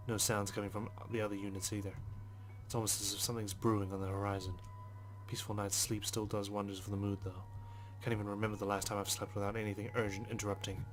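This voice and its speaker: A man reading visual novel narration aloud for a let's play in a dry, understated way. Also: fairly low pitch.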